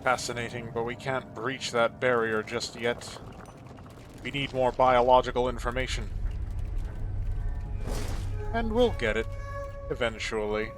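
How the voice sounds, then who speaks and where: A man's voice: medium pitch, unhurried, pauses often, music in the background. A man giving in-character video game commentary with a wry, playfully menacing manner. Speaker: gaming video host.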